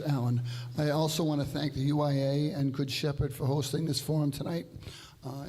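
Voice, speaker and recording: Older man, flat steady campaign speech. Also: on the high side, slight background noise. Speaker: incumbent mayor. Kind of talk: candidate forum speech. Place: church hall forum. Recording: long hall reverb.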